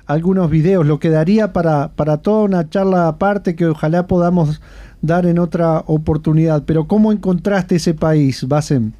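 A man's voice: on the high side, normal speed, warm tone, highs cut off. A man with a Uruguayan accent hosting a radio interview, speaking with frequent hesitations and fillers. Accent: Uruguayan Spanish. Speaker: radio host.

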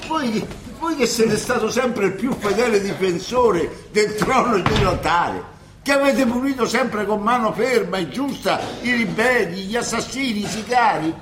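An actor playing a loyal, fussy and sceptical manservant-secretary in a radio play, querulous, with a Roman accent. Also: male voice, high voice, lively intonation, moderate pace, background noise. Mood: dismayed. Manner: querulous, echoing phrases back with doubt. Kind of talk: theatrical radio drama dialogue.

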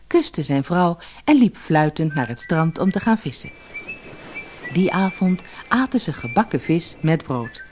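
A woman's voice: deep voice, darkish tone. A woman reading a scripted fairy tale as an audiobook narrator.

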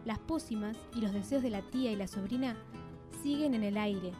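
A woman's voice: medium pitch, normal speed, lightly acted. A woman playing a wistful storyteller, reading scripted radio play narration. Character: wistful female storyteller closing a magical tale. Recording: guitar music behind the voice.

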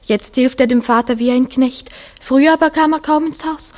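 An actress playing a dreamy, trusting young girl in a radio play, recounting and acting out memories in a soft, breathy voice. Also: high-pitched, normal speed, lightly acted. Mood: wistful remembering.

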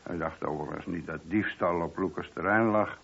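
An actor playing a chatty, deferential but opinionated middle-aged police sergeant in a radio play. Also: male voice, low-pitched, a little fast, vocal fry, a bit bright, husky, heavily acted. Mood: chatty, reporting. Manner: talkative, colloquial, deferential. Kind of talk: radio drama acting. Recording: dull, lo-fi old radio recording.

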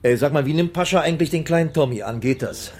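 An actor in a radio drama playing a firm, practical circus boss with a husky voice and a brisk, clipped, familiar manner. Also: male voice, on the high side, darkish tone, lightly acted. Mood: brisk, businesslike.

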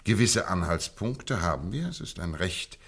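A shrewd detective holds forth in a radio drama, teasing his friend as he lays out his reasoning. The voice sits very low, with a theatrical swell on his key words. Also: sing-song, normal speed, fairly bright tone, husky, exaggerated voice. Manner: teasing, lecturing, theatrical emphasis. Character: shrewd lecturing male detective, middle-aged. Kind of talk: 1950s radio drama acting.

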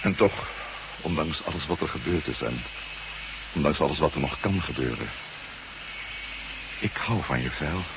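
A man playing a former astronaut hero in a radio play, earnest and expressive. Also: a bass voice, normal speed, gravelly, pauses often, noisy background, heavily acted. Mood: tender. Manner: earnest, tender in love scene. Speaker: Matt Meldon, former astronaut and reporter. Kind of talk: 1980s radio play acting.

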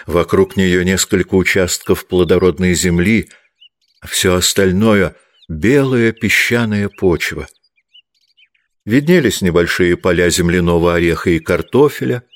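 A man's voice: sits low, no room sound. A man reading literary prose as a scripted audiobook narration.

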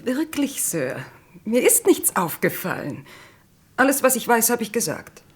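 An actress playing a shaken young widow being questioned by police in a radio crime drama, distressed and pleading. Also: female voice, fairly high pitch, very animated, measured pace, husky, full character voice. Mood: distressed, defensive.

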